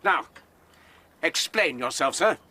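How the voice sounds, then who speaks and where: An actor playing a possessive, imperious brother in a radio drama, indignant and clipped. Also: male voice, a little high, lively intonation, takes it slowly, noisy background. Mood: furious. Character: furious, possessive brother, jealously guarding his sister.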